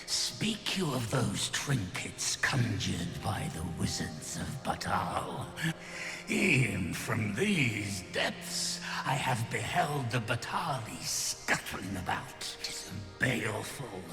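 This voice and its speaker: An ancient, withered king of a fantasy game, bitter and grandiose. His voice is gravelly and very slow, with archaic, theatrical phrasing that sinks into breathy whispers. It rings through a long cavernous reverb. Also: lowish voice, big pitch swings, music in the background, heavily acted. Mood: scornful brooding. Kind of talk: fantasy video game acting.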